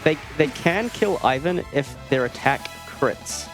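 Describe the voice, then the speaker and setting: A man's voice: fairly high pitch, expressive, unhurried. A man giving casual live commentary while playing a video game, with wry asides and broken-off sentences. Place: gaming marathon event, streamed. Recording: game music behind the voice. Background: video game music.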